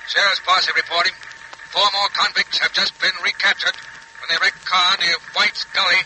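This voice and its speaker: Urgent police dispatcher, extremely bright hard tone, clipped official bulletins, 1940s radio drama, faint hiss. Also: male voice, high voice, flat delivery, normal speed, rough recording.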